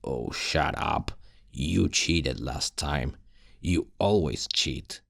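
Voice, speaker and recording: A man voicing a gruff, hot-tempered ex-guard turned thief, blunt and grumbling, with a gravelly voice, in a fantasy ASMR roleplay. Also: very deep voice, lively intonation, extremely slow, fairly bright tone, no echo, studio quality, heavily acted. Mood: irritated. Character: gruff one-eyed bearded ex-guard swordsman thief with a temper.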